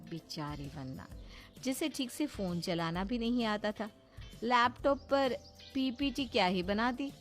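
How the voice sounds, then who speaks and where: A woman's voice: a little high, lively intonation, measured pace, soft background music. A woman doing scripted narration for a moral story cartoon.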